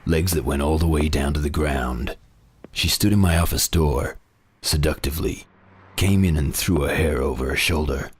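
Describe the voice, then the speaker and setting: A man voicing a hard-boiled, down-on-his-luck private detective who narrates a parody noir radio serial in a wry, deadpan manner. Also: rumbling low, normal speed, a little hiss or hum.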